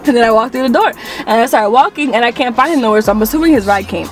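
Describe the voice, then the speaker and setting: A woman's voice: on the high side, fast, faint music behind. A young woman vlogger telling a gossipy storytime in a parked car, talking into a camera at arm's length. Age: young adult. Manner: animated and gossipy, full of quoted voices. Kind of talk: casual storytime.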